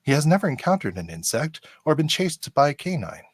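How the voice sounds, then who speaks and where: A man playing a polite, curious android officer in an amateur radio play, formal and measured, with a husky voice. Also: fairly high pitch, sing-song, no echo.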